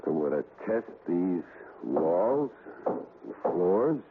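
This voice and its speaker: Deep-voiced grave ghost hunter. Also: male voice, very animated, exaggerated voice. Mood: determined. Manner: grave, deliberate, dramatic. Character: grave, determined male ghost hunter, steady under fear. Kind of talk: 1970s radio drama acting. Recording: tinny, hissy vintage radio recording.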